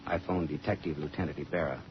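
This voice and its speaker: An actor playing a wry, world-weary private detective in clipped, sardonic 1940s radio noir style. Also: male voice, extremely low pitch, fairly even intonation, quick pace, over loud music. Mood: cool narrating. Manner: clipped, sardonic, tough delivery. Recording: muffled vintage 1940s radio recording.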